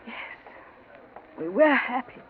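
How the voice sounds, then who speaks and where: A gracious, wistful lady of good family, on a thin vintage radio recording with light hiss. Her voice is high and theatrical, with genteel exclamations in the style of 1940s radio acting. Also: expressive, slow pace, narrow, tinny audio. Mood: wistful, grieving.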